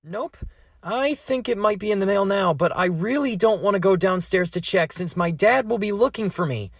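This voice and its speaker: A man's voice: high voice, expressive, normal speed, dry recording, studio quality. A man voicing an anxious, whiny thirteen-year-old boy in an amateur fandub, exasperated and hesitant.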